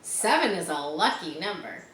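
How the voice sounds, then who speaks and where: A woman voicing a composed, wise female spider in a storybook, speaking in a measured, articulate way. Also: lowish voice, very animated, fairly bright tone, echoey room, slight background noise. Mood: calm.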